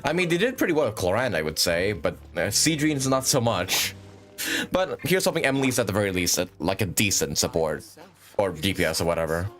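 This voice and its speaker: A man's voice: lowish voice, expressive, brisk, a bit bright, soft background music. A man hosting a livestream with casual, rambling gaming commentary and frequent chatty asides. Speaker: livestream host.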